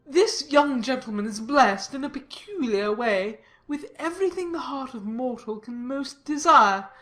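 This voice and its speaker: A woman's voice: sits high, expressive, fairly bright tone, soft background music. A woman voicing a pompous, sanctimonious clergyman reading out his formal letter in a dramatised audiobook. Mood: cautioning. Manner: pompous, formal, deliberate emphasis.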